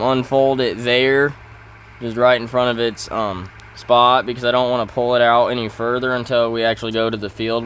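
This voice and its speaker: Man, mid-pitched flat voice, slow halting delivery, casual farming-game commentary, self-correcting, noticeable background noise. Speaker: gaming video host.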